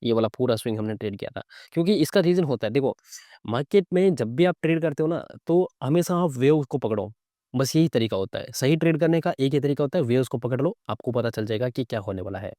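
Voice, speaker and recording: A man with a soft, mellow voice, recorded close and dry. His throat is a little sore. He teaches chart reading as a casual live commentary, talking conversationally and often tacking on little questions to check that listeners follow. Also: medium pitch, expressive, fast, dry recording. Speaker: trading instructor and live-trade host.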